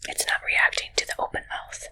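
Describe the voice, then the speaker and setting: A woman voicing a curious, suspicious alien who poses as a human medical examiner in an ASMR roleplay, speaking in halting, clipped, detached phrases. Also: pushed and bright. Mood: puzzled, probing.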